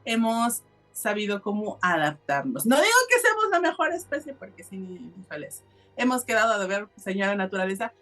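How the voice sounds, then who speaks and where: Female co-host, mid-range voice, emphatic casual opinions, soft music behind. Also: medium pitch, big pitch swings, a little fast. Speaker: podcast co-host.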